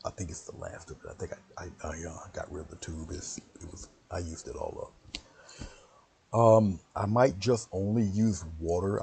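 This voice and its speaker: A man with a very low, gravelly voice, his pitch sitting near the bottom of the range. He leads a relaxed painting stream, rambling between steps and greeting viewers one by one. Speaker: painting instructor. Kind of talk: casual livestream painting lesson.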